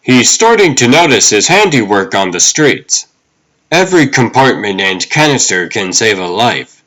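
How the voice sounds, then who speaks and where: A man's voice: mid-range voice, very animated, normal speed, a bit bright, poor audio. A man playing a driven, serious vigilante hero in a comic dub, speaking earnestly. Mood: driven, hopeful.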